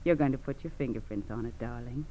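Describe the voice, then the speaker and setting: An actress playing a domineering, scheming American criminal wife in 1940s radio crime drama, bossy, coaxing and belittling. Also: female voice, a bass voice, fast, dark tone. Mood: scheming, persuasive. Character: domineering, scheming criminal wife goading her frightened husband. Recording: dull old radio recording with hiss.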